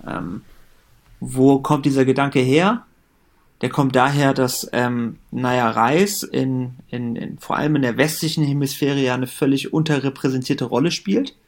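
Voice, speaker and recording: Man, late 30s, from Germany; relaxed, rambling podcast guest. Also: mid-range voice, measured pace. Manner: relaxed, chatty, many fillers and restarts. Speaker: company co-founder and managing director. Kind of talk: casual podcast interview.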